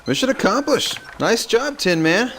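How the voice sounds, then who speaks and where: An actor playing a young, playful rebel technician passing on instructions with jokey confidence in a game. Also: male voice, high-pitched, sing-song, moderate pace, noisy background. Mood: cocky, brisk. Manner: breezy, jokey, emphatic. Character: cocky, playful male rebel teleport technician. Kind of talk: scripted game radio message.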